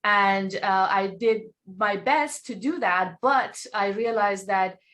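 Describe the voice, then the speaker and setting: A woman's voice: mid-range voice, slow pace, a bit bright. A woman from Iran speaking earnestly and thoughtfully on a panel as a nonprofit co-founder. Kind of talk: panel talk.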